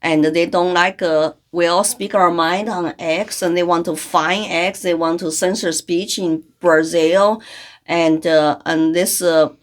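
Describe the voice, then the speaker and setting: A woman's voice: fairly low pitch, steady tone, measured pace. A middle-aged woman from China whose first language is Chinese, speaking as a guest in an interview, earnest, with many fillers and restarts. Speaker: guest, congressional candidate. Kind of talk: interview conversation.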